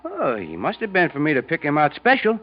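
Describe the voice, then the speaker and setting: An actor playing an excitable, suggestible American man in the street, slangy and eager, in 1940s radio drama style. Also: fairly high pitch, brisk. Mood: puzzled, eager. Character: excitable, folksy, suggestible male street witness. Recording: dull, hissy vintage radio recording.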